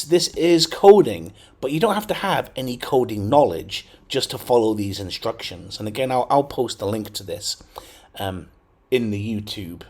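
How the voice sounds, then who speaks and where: A man's voice: mid-range voice, darkish tone. A man hosting a casual computer tutorial, talking through steps with frequent fillers and checks like "okay?". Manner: chatty, lots of fillers and okays. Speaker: tech tutorial host. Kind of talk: casual screen-recorded tutorial.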